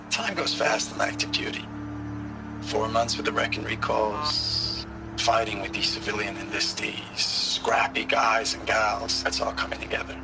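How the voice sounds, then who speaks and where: An actor playing a weary, devoted soldier speaking a tender, halting message to a loved one back home. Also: male voice, lowish voice, lightly acted, poor audio. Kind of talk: dramatic voice-message monologue. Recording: music behind the voice.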